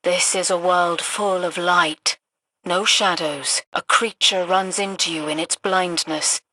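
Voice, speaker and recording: Cryptic, crisp-edged otherworldly emissary. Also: female voice, on the low side, fairly even intonation, sharp tone, slight background noise, rough recording. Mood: ominous, detached. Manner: measured, formal, oracular. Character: cryptic otherworldly female emissary of cosmic powers, coolly imperious. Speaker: voice actor in a video game. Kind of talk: dramatic video game dialogue.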